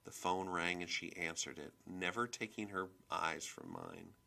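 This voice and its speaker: Man, storybook reader, lowish pitch, very crisp bright tone, expressive scripted narration. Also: measured pace.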